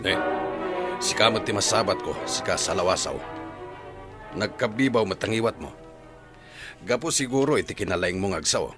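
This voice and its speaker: A man's voice: lowish voice, lively intonation, normal speed, pauses often, over music, close to an everyday voice. A man playing an exasperated, mocking character who rebukes someone in an audio drama. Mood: mocking, angry. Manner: mocking, scolding. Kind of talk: Filipino audio drama acting.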